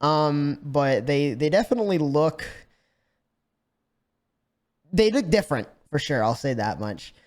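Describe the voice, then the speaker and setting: A man giving a casual video game review with a slightly scratchy voice, animated, with lots of fillers and restarts. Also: a little high, unhurried, pauses often. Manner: animated, swears freely, frequent fillers and restarts. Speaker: gaming YouTuber.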